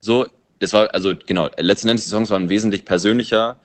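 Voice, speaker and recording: Very deep-voiced musician, native English speaker. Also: male voice, fairly even intonation, fast talker. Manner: loose, musing, thinks aloud. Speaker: musician and producer. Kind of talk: casual interview answers.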